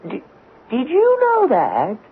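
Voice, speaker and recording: A frail, ancient tycoon in an old radio play. He speaks extremely slowly in a creaky quaver that swoops up and down, querulous and morose, through a narrow lo-fi broadcast sound. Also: very high-pitched, huge pitch swings, heavily acted. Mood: wistful, fretful. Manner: halting, querulous, drawn-out speech. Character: incredibly old, frail, morose male billionaire. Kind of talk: old-time radio drama acting.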